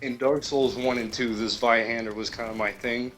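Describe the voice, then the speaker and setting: A man on an internet call, casually explaining his views on video games in a low-key, hesitant way. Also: fairly even intonation, normal speed, a bit bright, soft background music. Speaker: caller to a gaming stream.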